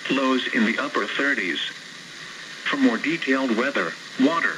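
A synthetic male announcer reads a formal, measured weather bulletin, heard through a radio speaker. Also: mid-range voice. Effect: played through a radio speaker. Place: radio on a shelf in a small indoor room. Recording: noisy weather radio speaker recorded in room.

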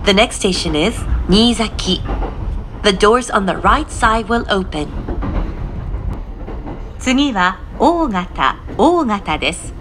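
A woman announcer reading formal, measured, scripted station announcements over a train's speakers. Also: medium pitch, expressive. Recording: train announcement with clear rail noise. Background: train running noise.

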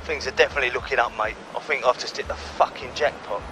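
An actor plays a hard-boiled man from the London underworld in a game cutscene. His London-accented delivery is terse, bitter and defiant at a middling pitch, with music clearly behind him. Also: steady tone, fast, lightly acted, poor audio. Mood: urgent, keyed up. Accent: London English. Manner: terse, gritty, foul-mouthed. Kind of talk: video game cutscene acting.

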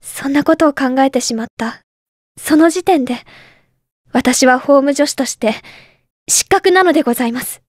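A woman's voice: high-pitched, moderate pace, dry recording, clean studio sound, close to an everyday voice. An actress playing an earnest young female legal assistant in a game, speaking in a very formal and polite way. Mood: remorseful. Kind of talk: game voice clip. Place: studio.

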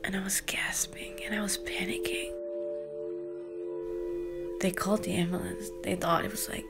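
A young woman playing a weary, drugged teenage girl in a drama, confessional, with a languid, halting, half-whispered delivery. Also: medium pitch, level tone, vocal fry, lightly acted. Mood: hushed, reflective. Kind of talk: naturalistic screen drama monologue, fan voiceover. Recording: music behind the voice.

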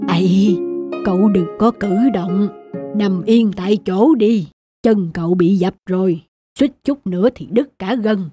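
A woman plays a kindly old man, the elder of a village in a cartoon folk tale. He speaks slowly and reassuringly, with music playing behind him. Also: medium pitch, dark tone, lightly acted.

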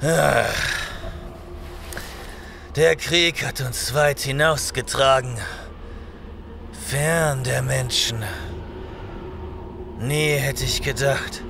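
An actor playing a proud, weary young barbarian warrior in an audio drama, speaking in a heavy, declamatory way. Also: male voice, medium pitch, fairly even intonation, very slow, vocal fry, pauses often, background noise. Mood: weary, grim.

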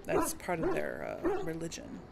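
A woman's voice: lowish voice, wildly swooping pitch, normal speed, gravelly, very noisy. A woman hosting a gaming video, giving casual, chatty and rambling let's play commentary.

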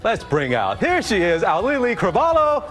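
A middle-aged man hosting a TV studio interview, animated and joking, with lots of stumbling restarts. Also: high-pitched, normal speed, soft background music. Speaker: talk show host. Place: large TV studio.

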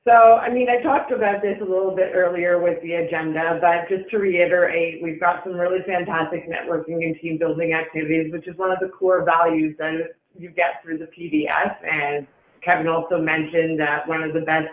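A man's voice: fairly high pitch, little pitch movement, a little fast. A man giving an informal conference presentation as an event organizer, speaking in a meeting room. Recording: roomy, echoey conference call room.

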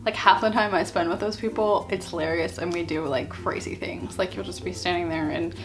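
A woman's voice: fairly low pitch, fairly even intonation, a little fast. A young woman in her twenties chatting animatedly to a webcam in a small bedroom, telling stories and acting out what her friends said. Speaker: vlogger. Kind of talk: casual storytime chat.

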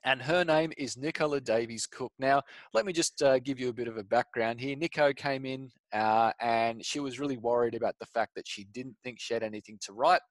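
A man's voice: mid-range voice, brisk, hardly any pauses, dry recording. A man in his thirties with an Australian accent, hosting an interview in an upbeat, jokey way over a headset on a video call. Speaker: podcast host. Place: home room.

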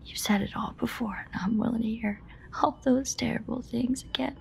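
A woman playing an obsessive, guilt-ridden yandere lover in a whispered audio roleplay, halting, breathy, intimate and pleading. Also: on the high side, steady tone, darkish tone, slight background noise. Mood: desperate, pleading. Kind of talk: whispered dramatic audio roleplay addressed to a listener.

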